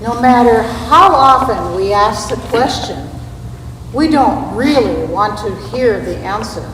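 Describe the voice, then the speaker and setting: Echoey far-off church recording of a woman reciting a script real slow and deliberate, bit of noise. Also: fairly high pitch, animated, fairly bright tone. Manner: deliberate, measured delivery. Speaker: church readers theater performer. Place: large reverberant church space. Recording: echoey hall, distant mic, background noise.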